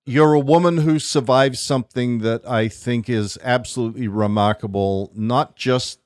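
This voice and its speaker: A man co-hosting a podcast, welcoming a guest in a slow, earnest way with halting restarts. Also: mid-range voice, dry recording, clean studio sound. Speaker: podcast co-host. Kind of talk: casual podcast conversation.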